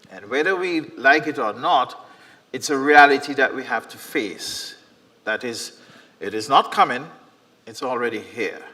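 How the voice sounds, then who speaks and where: A man moderating a conference, introducing the next session and presenter in a measured, emphatic way. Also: medium pitch, expressive, pushed and bright. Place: conference hall. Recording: reverberant conference hall.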